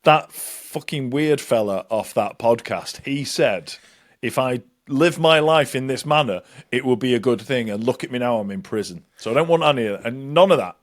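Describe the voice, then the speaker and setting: A man's voice: mid-range voice, lively intonation, normal speed. Swearing man hosting a podcast. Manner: joking, swears freely, laughs often. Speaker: podcast co-host. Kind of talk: casual banter.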